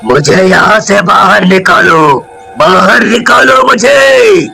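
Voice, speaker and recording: A man playing a vengeful, menacing ghost in a cartoon story, growling slow, drawn-out threats. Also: sits high, big pitch swings, music in the background, full character voice. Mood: furious, demanding. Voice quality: gravelly, growling. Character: vengeful male ghost trapped in a gas cylinder, menacing.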